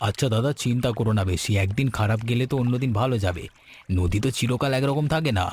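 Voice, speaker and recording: A man's voice: medium pitch, quick pace, a little mellow, slight background noise, close to an everyday voice. An actor playing a practical, encouraging younger village fisherman in conversational cartoon dialogue.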